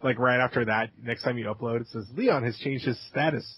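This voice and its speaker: A laid-back man co-hosts a gaming podcast in a husky, mid-pitched voice and laughs often as he chats. The sound is dry and close, with dull lo-fi podcast audio. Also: a little fast, no echo. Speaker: podcast co-host. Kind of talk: casual gaming chat.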